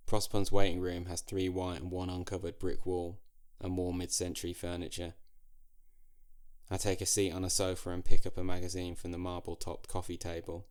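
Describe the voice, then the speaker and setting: A man reading a scripted first-person story in a measured, dry, literary manner with deliberate pauses. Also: low-pitched, darkish tone. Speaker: narrator.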